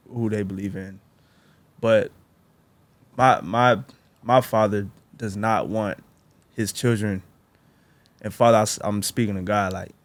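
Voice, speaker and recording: Podcast co-host, twenties, male, lowish voice, dry close sound, halting casual delivery. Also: no room sound. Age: 20s. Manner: rambling, halting, many fillers and restarts, says bro. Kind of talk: casual reflective chat.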